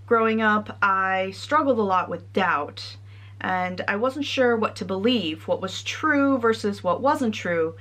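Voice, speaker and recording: A young woman with a Connecticut American accent, a trainee priest giving a measured, earnest sermon. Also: mid-range voice, lively intonation, a bit bright. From United States.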